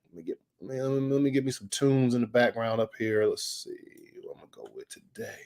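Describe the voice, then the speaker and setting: A man's voice: medium pitch, quick pace, creaky, a little mellow. A man hosting a daily online show in casual livestream chat, loose and slangy, laughing often, his throat a little scratchy. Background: faint music.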